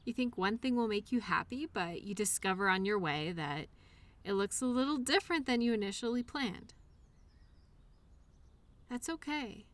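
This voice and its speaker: A woman playing a loyal childhood imaginary friend in an audio roleplay, speaking in an earnest, reassuring, gently encouraging way. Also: medium pitch, animated, moderate pace, pauses often, close to an everyday voice. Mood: gentle, reassuring. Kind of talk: one-sided audio roleplay, intimate scripted conversation.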